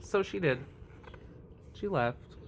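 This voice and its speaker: Slow, lively man reading a picture book. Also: high voice, slight background noise. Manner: deliberate, dramatic pauses between short phrases. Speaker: read-aloud storyteller. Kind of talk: expressive picture-book read-aloud with brief asides.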